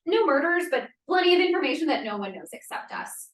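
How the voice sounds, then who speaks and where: A woman's voice: high voice, sing-song, fast talker. A woman acting a spirited, guarded member of a feminist secret society in a script read-through, speaking emphatically. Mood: guarded, firm. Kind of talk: table read of a play script. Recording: echoey room, distant microphone.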